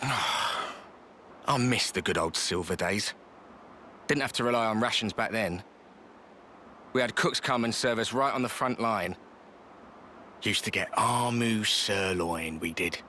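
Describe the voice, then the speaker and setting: A man with a British accent voicing a colloquial, grousing low-ranking soldier in a video game. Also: slow, pauses often, pushed and bright, a little hiss or hum. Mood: grumbling. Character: grumbling, low-ranking male soldier, nostalgic and deferential. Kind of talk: scripted video game voice acting.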